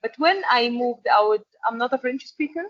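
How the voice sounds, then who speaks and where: A woman whose first language is Arabic, an interview guest telling her career story in an earnest, halting way full of restarts. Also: fairly high pitch, animated, dry recording, dull audio. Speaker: interview guest, export manager. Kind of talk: conversational interview.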